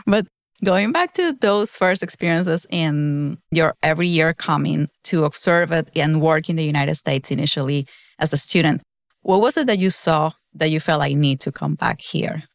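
A woman's voice: low voice, animated, normal speed, no echo, studio quality. Earnest woman hosting a dental podcast. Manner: earnest, welcoming, reads a prepared intro. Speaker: podcast host.

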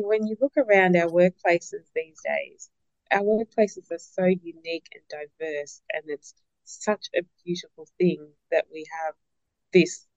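A woman's voice: on the low side, dry recording. A woman speaks as a guest on a podcast, reflective and earnest. She restarts phrases with repeated words and puts questions to herself as she thinks aloud. The sound is dry and a little muffled. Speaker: business partner and podcast guest. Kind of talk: interview answers.

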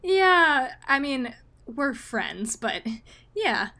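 A sardonic young woman in an audio comedy. She is blunt and dismissive, reluctant about everything, in a fairly high casual voice. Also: big pitch swings, very slow, bright, forceful tone, exaggerated voice. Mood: awkward. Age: young adult. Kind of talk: comedic audio drama acting.

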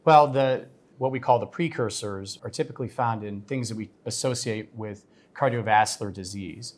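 A man with a somewhat low voice speaks as a podcast guest. He is a physician and researcher, and he explains in a measured, technical manner.

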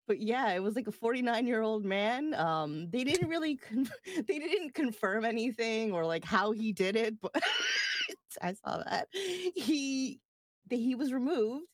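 A woman's voice: a little high, measured pace, no room sound. Giggly woman co-host, dry close sound. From US East Coast. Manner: giggly, animated, swears casually. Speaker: podcast co-host. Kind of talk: casual chat.

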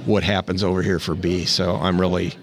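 Deep flat-voiced man talking ranching. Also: brisk. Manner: rambling, thoughtful, lots of restarts. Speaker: interview guest, rancher. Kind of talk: casual interview.

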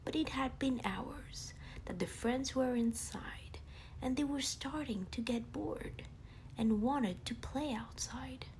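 A woman narrating a scripted bedtime story for children in a gentle, deliberate manner. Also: high-pitched, slight background noise.

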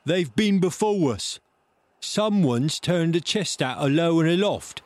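A man voicing a rough pirate henchman who calls out reports in a storybook adventure. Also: medium pitch, slow pace, no room sound, voice pushed up, natural voice. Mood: alarmed.

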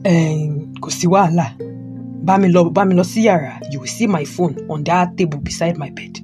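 A woman's voice: on the low side, moderate pace, natural voice. A woman playing a stern but supportive elderly father in an audio drama, with a Nigerian Yoruba accent and an emphatic, repetitive, admonishing delivery. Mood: firm, resigned. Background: guitar music.

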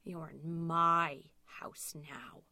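A woman voicing a menacing, dark ghostly creature in a horror story, speaking in a slow, sinister, imposing whisper. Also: low-pitched, fairly even intonation, no echo, heavily acted.

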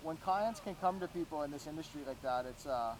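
A man's voice: on the high side, fairly quick, rough recording. A man from Florida, a real estate agent, telling stories as a guest in a casual podcast interview and acting out what people said to him. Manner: animated storyteller, acts out quoted voices.

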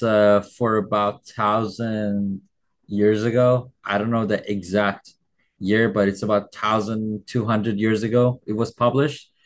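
A man whose first language is Farsi, a music producer giving a measured, explanatory account in an interview, often hedging with "like". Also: lowish voice. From Iran. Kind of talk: casual interview storytelling.

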